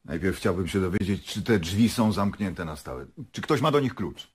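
Radio drama prosecutor, male actor: guarded and dry, low pitch with lively inflection. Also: normal speed, husky. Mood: inquisitive, dry. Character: reserved, skeptical male public prosecutor on a murder case. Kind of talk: radio play acting.

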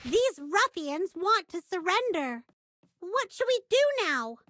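Extremely high squeaky voice, little bird critter, bright, childlike, excitable, video game. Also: female voice, slow, a little hiss or hum, heavily acted. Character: tiny, excitable, cute bird-like companion creature.

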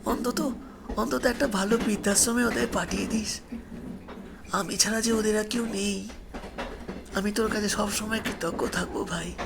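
Man voicing a grieving, pleading male ghost, high-pitched. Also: fairly even intonation, moderate pace, creaky, long pauses, noisy background. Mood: tearful pleading. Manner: plaintive, imploring, repeats phrases with heavy feeling.

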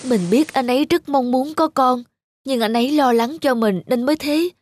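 A woman's voice: high-pitched, moderate pace, a little mellow, soft background music, natural voice. A woman voicing a fragile but determined young wife in a cartoon, tender and pleading.

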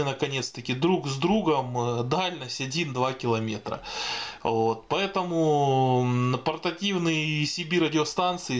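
A man giving an informal, improvised explainer voice-over in a hesitant, halting manner. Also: mid-range voice, fairly bright tone. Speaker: video host explaining radio equipment.

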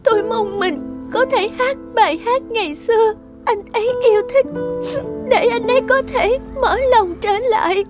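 A woman voicing an emotional, earnest young woman in love in an animated cartoon. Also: very high-pitched, normal speed, music in the background. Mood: tearful, pleading.

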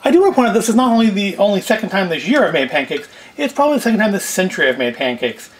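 A man's voice: high voice, very animated, racing, slightly rough. A man hosting a homemade food video, rambling casually and wryly as he thinks aloud while cooking. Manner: wry, self-questioning, thinking aloud. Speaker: host of a food review video. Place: indoors at home, at a table and later in a kitchen.

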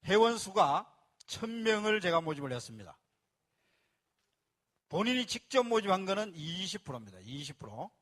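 Man, slightly high-pitched voice, seminar presenter, step-by-step explanations, repeats key phrases, speaks to a live audience. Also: big pitch swings, moderate pace, long pauses, a bit bright. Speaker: business presenter explaining a membership plan.

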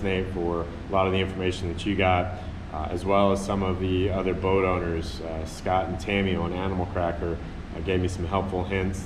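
A man who owns a sailboat, methodically walking through a battery install step by step. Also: deep voice. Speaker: sailboat owner. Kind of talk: explaining a do-it-yourself install. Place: inside a sailboat cabin. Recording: echoey cabin with loud hum.

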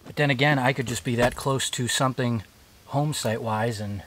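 A man's voice: mid-range voice, moderate pace, a bit bright, low-quality recording. A man in his forties thinking aloud with curiosity as he hikes through a forest, talking to a body-worn camera outdoors. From New England. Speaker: hiking vlogger. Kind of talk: casual walking narration to camera. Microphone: body-worn action camera.

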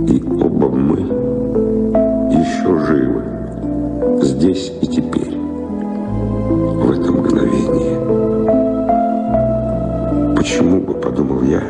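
Very deep, world-weary wanderer. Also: male voice, creaky, heavily acted. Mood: reflective. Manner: slow, halting, musing delivery with pauses. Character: world-weary, reflective wandering man, a former lover. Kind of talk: radio play acting. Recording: echoey radio play with music behind.

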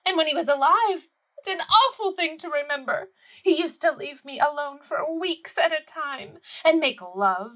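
A proud widow in mourning speaks in a theatrical audio comedy. Her voice is high and forceful, with slow, melodramatic phrasing and haughty indignation. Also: slight background noise, full character voice.

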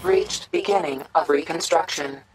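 A woman voicing a calm, impersonal computer assistant in a video game, narrating in a measured, clinical way. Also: low voice, husky, full character voice, poor audio. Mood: impersonal, informative.

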